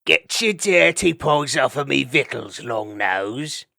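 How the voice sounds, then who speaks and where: A man's voice: medium pitch, animated, takes it slowly, creaky, bright, forceful tone, no room sound, clean studio sound, full character voice. A man voicing a bullying ferret thug. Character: cockney male ferret bully, greedy and brutal.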